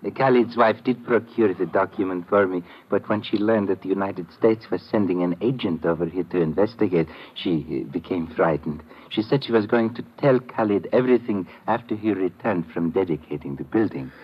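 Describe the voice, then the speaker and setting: Smugly courteous male villain, very low voice, formal and measured, muffled old radio drama recording. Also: slightly rough. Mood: politely rueful. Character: polite, cold-blooded male murderer, smugly courteous. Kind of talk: 1950s radio drama acting.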